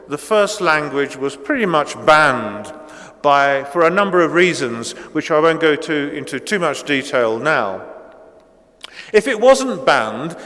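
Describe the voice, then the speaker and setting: A man's voice: on the high side, fairly bright tone. A man in his sixties, a native Italian speaker from Italy, giving an academic keynote lecture with many ums and restarts. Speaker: guest lecturer. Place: lecture hall. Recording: long reverb in a lecture hall.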